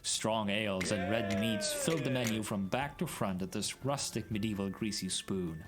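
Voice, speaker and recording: A man's voice: fairly low pitch, normal speed. A man doing a dramatic storybook reading in a theatrical, mock-grand way. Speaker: streamer reading a story aloud. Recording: background music mixed under voice.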